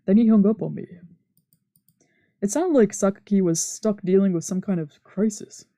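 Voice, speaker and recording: A woman's voice: medium pitch, moderate pace, warm tone, dry recording, studio quality. A woman streamer reading scripted first-person visual novel narration aloud. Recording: music behind the voice.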